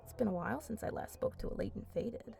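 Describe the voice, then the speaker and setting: Flirty vampire woman for ASMR roleplay, female performer: mellow, breathy and unhurried, coaxing and seductive, music behind. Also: a little high, animated, slight background noise. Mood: amused probing. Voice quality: breathy, often dropping to a whisper. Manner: slow, teasing, coaxing.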